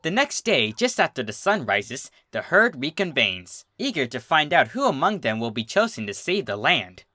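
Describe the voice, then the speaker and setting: A man's voice: a little high, very animated, normal speed, hardly any pauses, pushed and bright, no room sound, studio quality. A man reading a story aloud as a narrator, in a dramatic, scripted storytelling style. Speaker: fan fiction reader, narrator.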